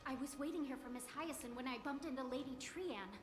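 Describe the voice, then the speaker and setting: A woman voicing a gentle, high-voiced healer. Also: fairly quick, close to an everyday voice. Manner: soft, polite and caring. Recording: roomy game audio over music.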